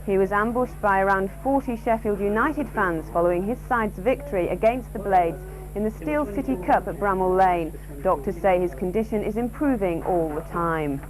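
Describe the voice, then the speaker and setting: Female newsreader on a faintly noisy broadcast. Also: mid-range voice. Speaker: regional newsreader. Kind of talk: scripted news bulletin reading.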